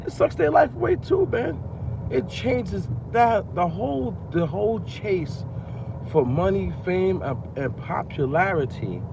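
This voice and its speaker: A man's voice: sits high, sing-song, measured pace, gravelly. Groggy man rapping about life from his car. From Long Island, New York. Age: 40s. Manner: loose, repetitive, street-slang musing with many fillers. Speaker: rapper and vlogger. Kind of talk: casual talking-to-camera rant. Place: car at night. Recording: dull lo-fi phone audio with car noise.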